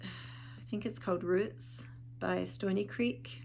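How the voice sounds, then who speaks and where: A woman talking casually in a show-and-tell vlog, calm and unhurried with small pauses, sounding a bit sniffly. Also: medium pitch, vocal fry, a little hiss or hum, rough recording. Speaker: vlogger.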